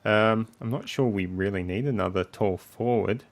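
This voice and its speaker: A lowish-voiced man with a croaky throat hosts a laid-back sports podcast. He runs through the segments and steers the talk. He fills his sentences with relaxed ums. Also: normal speed. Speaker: podcast host. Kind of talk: casual sports podcast chat.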